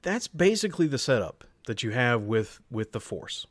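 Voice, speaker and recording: A man with a mid-pitched, highly animated voice co-hosts a podcast. He argues his points casually but emphatically, in a dry recording. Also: moderate pace, no echo. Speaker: podcast co-host. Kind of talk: casual debate and discussion.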